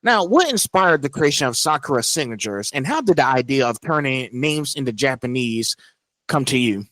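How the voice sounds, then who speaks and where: A man hosting his podcast interview, halting and full of restarts and "um"s. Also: medium pitch, animated, fairly bright tone, no room sound. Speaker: podcast host.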